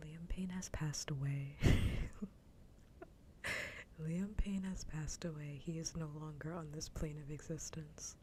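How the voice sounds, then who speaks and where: A woman livestream host chatting casually and ramblingly with viewers in a soft, breathy, half-whispered ASMR voice, close to the microphone. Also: low voice, fairly even intonation, moderate pace, hardly any pauses, a little hiss or hum. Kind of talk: soft-spoken ASMR chat stream.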